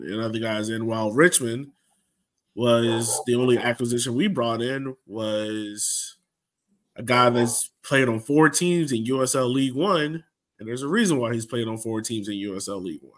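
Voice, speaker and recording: A man's voice: mid-range voice, moderate pace. A man hosting a soccer fan podcast, giving a blunt, frustrated and casual recap. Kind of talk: casual sports recap discussion.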